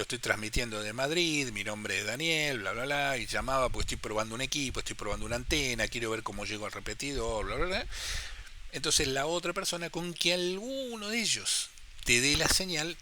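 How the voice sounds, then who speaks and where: A man's voice: medium pitch, animated, a little fast, bright, forceful tone. A man with an Argentine Spanish accent explaining amateur radio etiquette step by step in a casual tutorial, often checking that listeners follow.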